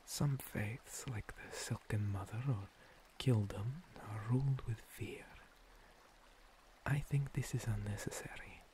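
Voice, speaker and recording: A man playing a gentle, long-lived monster cleric in a whispered ASMR roleplay, breathy, courteous and a little hesitant, with formal phrasing. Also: lowish voice, slow pace, a little hiss or hum, rough recording. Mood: calm, reflective.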